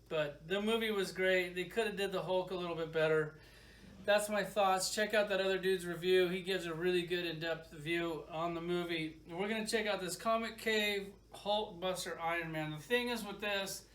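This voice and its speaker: High-pitched man casually reviewing a product. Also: a bit bright. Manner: informal, opinionated, rambling. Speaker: toy reviewer.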